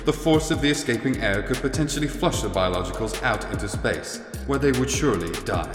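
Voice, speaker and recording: A man's voice: mid-range voice, lively intonation, fairly quick, close to an everyday voice. A man playing an obedient, literal-minded spaceship computer in a science fiction audio drama, speaking formally and without emotion. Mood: formal, puzzled. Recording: echoey, long reverb, music behind.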